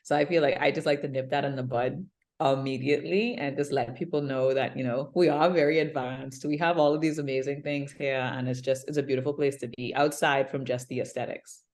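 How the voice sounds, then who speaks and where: A woman with a Bahamian accent, a yoga instructor being interviewed, relaxed and prone to light laughs. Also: very deep voice, fairly quick.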